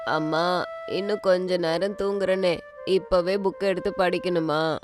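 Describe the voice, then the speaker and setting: A woman's voice: lowish voice, fairly even intonation, a little slow, music in the background, pitched below the performer's own voice, close to an everyday voice. A woman voicing a sleepy young schoolboy son who pleads drowsily in a family story. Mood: sleepy pleading.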